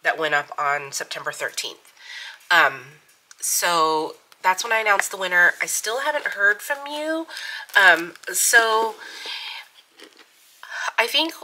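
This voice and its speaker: A woman's voice: on the low side, animated, slow, pauses often, sharp tone, rough recording. A middle-aged woman with sniffly allergies, chatting casually as the host of a planner channel, rambling with lots of ums and giggles. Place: home craft desk. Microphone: overhead camera on desk, hands only.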